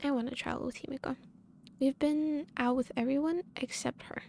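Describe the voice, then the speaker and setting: A woman's voice: sits high, steady tone, fairly quick, gravelly, darkish tone, a little hiss or hum. A woman chatting casually as she live streams a game, giggly and meandering.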